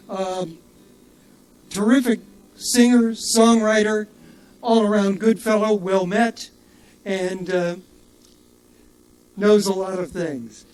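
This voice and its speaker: An older man with a high voice speaks into a stage microphone at an outdoor festival. He runs the show with casual, rambling announcements, full of fillers and little jokes at his own expense. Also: darkish tone, low-quality recording. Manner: rambling and self-joking, with many fillers. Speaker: festival emcee.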